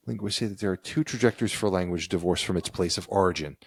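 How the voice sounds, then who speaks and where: Low-voiced man co-hosting a chat. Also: creaky, breathy edge, no echo. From United States. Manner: reads passages aloud, then riffs conversationally. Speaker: podcast co-host. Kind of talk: casual chat.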